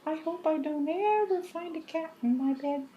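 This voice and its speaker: Cartoon-style little boy mouse, high and childlike; a woman voices him. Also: normal speed, dull audio, slight background noise, lightly acted. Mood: sleepy curious. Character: small boy mouse listening to a bedtime story.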